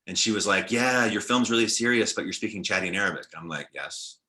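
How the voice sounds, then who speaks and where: Young guy on a video call, deep bright voice, loose and rambly with lots of likes. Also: brisk. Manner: relaxed, reflective, many "like"s and restarts. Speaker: film director and panelist. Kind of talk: panel discussion over video call.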